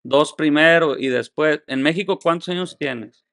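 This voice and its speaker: A man's voice: a little high, normal speed, gravelly, fairly bright tone, dry recording, clean studio sound. A man hosting a podcast, friendly, laughing often and prompting his guest with questions. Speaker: podcast host. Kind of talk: casual interview chat.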